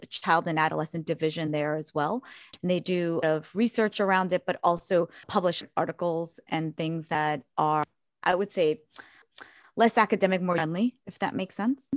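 A woman clinician who works with children and teens speaks in a measured, halting way in a casual interview, with many restarts. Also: on the low side, dry recording. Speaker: child and adolescent mental health clinician.

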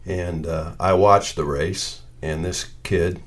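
An American man of about seventy, casually reminiscing in a halting, meandering way as he searches for details. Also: low voice, steady tone. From northeastern Ohio, United States. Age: around 70.

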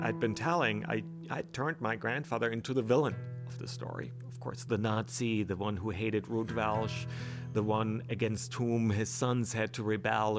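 Hesitant gravelly-voiced man with roots. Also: on the low side. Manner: hesitant, with many restarts and fillers. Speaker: author and guest. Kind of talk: reflective interview talk.

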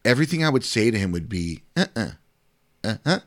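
Man, middle-aged, deep voice, very low pitch, casual podcast chat, rambling storyteller. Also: fairly quick. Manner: rambling, repeats words, animated when telling stories. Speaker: podcast co-host.

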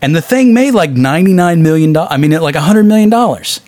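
A man's voice: a little high, lively intonation, a little fast, a little mellow, no room sound. A man co-hosting a podcast, talking casually about movies in an informal, wryly amused way. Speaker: podcast co-host.

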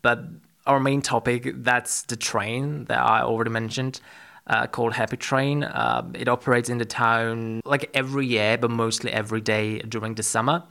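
A male podcast host with a noticeably gravelly, creaky voice at a medium pitch. He speaks conversationally, pausing often as he explains things to a guest. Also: fairly even intonation, pushed and bright.

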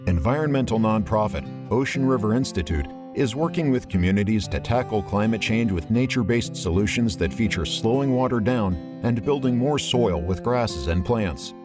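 A man reading a scripted public-service announcement in an even, steady manner. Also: on the low side, moderate pace, hardly any pauses. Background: music bed.

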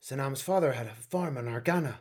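A man acting in a radio play as an authoritative, conflicted middle-aged colonel and father, husky and emphatic. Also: mid-range voice, a little fast, close to an everyday voice. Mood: tense, weary.

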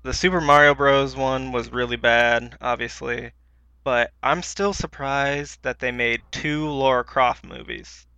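Creaky, bright man on a casual podcast. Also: mid-range voice, steady tone, a little slow, no echo, muffled recording. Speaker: podcast co-host. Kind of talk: casual chat.

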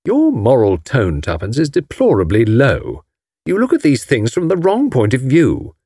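A man voicing a dry, mildly disapproving young British husband with a crisp manner, reading an audiobook. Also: medium pitch, wildly swooping pitch, normal speed, warm tone, no echo, exaggerated voice. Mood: cool.

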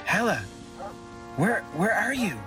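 Low, worried fantasy villager, played by a woman. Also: very animated, measured pace, music in the background. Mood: alarmed. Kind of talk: acted audio drama.

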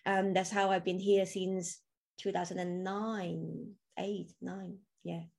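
A woman's voice: lowish voice, little pitch movement, slow, gravelly, darkish tone. A woman from Hong Kong with Cantonese as her first language, telling her story as a podcast guest in a chatty way, with loose grammar and many fillers. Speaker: podcast guest, crypto coach. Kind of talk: casual interview chat.

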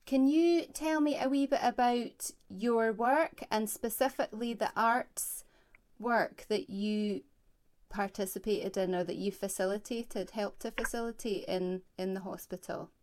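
A woman conducts an interview by phone, her pitch sitting in a middle range. She speaks gently, with plenty of hesitations and restarts. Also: fairly even intonation, unhurried. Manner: gentle, hesitant, many fillers. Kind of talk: oral history interview.

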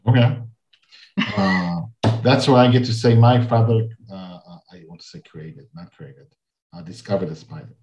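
Mellow-voiced male interviewer, echoey lo-fi audio. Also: lowish voice, faint music behind. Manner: halting questions with brief acknowledgements. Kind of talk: casual interview conversation. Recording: muffled lo-fi audio in an echoey room.

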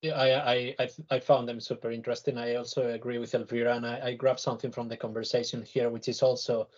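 Husky man in a panel discussion. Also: mid-range voice, little pitch movement, fast talker, a little mellow, muffled recording. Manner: earnest, repeats words as he thinks. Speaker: panel guest, tech director. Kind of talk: panel discussion, conversational opinion.

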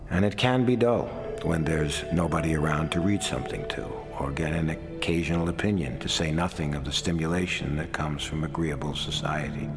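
A man playing a weary, sardonic middle-aged writer in a radio drama, voicing his letters with wry, theatrical eloquence. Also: very deep voice.

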